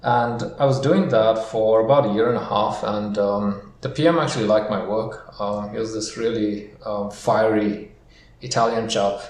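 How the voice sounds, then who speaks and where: A man from Sri Lanka and Singapore, a podcast guest answering questions in a relaxed way with many ums. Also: lowish voice, moderate pace. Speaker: guest, equity research founder. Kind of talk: casual interview answers. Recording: echoey room, faint noise.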